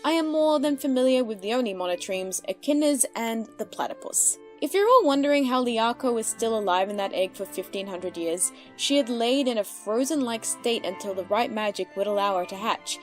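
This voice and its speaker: A woman's voice: a little high, lively intonation, moderate pace, hardly any pauses, faint music behind. A woman in her twenties with an Australian accent, giving casual explanatory commentary as the creator of an audio drama.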